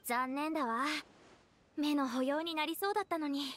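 An actress playing a sensible, slightly teasing young troupe member in a Japanese anime game, composed and matter-of-fact. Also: female voice, high-pitched, normal speed, a bit bright, a little hiss or hum. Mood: curious. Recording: music bed behind voice.

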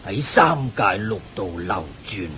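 An actor playing a wise, shrewd old lay sage with a measured teaching manner in a radio drama. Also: male voice, very animated, sharp tone, full character voice. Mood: calm teaching. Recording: faint rumbling hiss.

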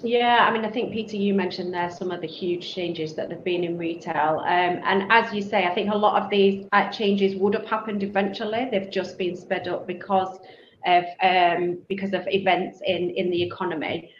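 A woman's voice: lowish voice, steady tone, moderate pace. A middle-aged woman with a Manchester accent, speaking as a webinar panel guest and laying out her points thoughtfully. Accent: Mancunian. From Manchester, England. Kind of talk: webinar discussion. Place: office, video call. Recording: roomy, dull video-call audio with faint noise.

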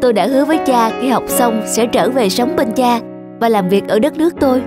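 An actress playing a modest, principled young woman scientist in an animated drama, earnest and restrained. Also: on the high side, brisk, loud music behind the voice, natural voice. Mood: restrained, sad. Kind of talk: dubbed animated drama dialogue.

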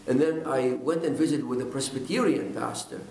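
An older man from Iraq gives a personal testimony straight to the camera, talking earnestly and haltingly with repeated words and fillers. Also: medium pitch, vocal fry, a little mellow, a little hiss or hum. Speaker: pastor. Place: indoors, in front of a bookshelf. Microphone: camera at close range. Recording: echoey room.

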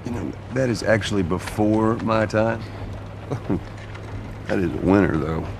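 Gravelly, weary Southern survivor. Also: male voice, a little slow, background noise. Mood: dry, weary. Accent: Southern American. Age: middle-aged. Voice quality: low, gravelly. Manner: terse, understated drawl. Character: gruff, weary middle-aged male survivor, protective and guarded. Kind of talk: cinematic video game acting.

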